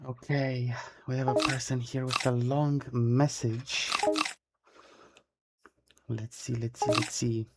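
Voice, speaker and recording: A man's voice: mid-range voice, little pitch movement, slow pace, pauses often, very noisy. A man streaming a game live, chatting casually in English. His first language is close to Italian, and he often repeats and rephrases himself. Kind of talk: casual live-stream chat while playing.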